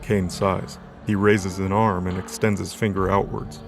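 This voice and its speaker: A man's voice: low-pitched, little pitch movement, unhurried, gravelly. A man reading scripted narration for a light novel audio drama. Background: background music.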